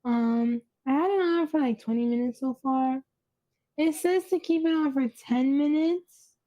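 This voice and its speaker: A woman's voice: high voice, a little slow, warm tone. A woman in her late twenties with a youthful-sounding voice, chatting casually and dryly as a podcast co-host. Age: late 20s.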